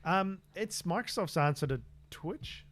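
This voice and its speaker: A man's voice: high voice, animated, unhurried, a bit bright. A man co-hosting a tech podcast in an easygoing, casual chat full of fillers and restarts. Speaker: podcast co-host.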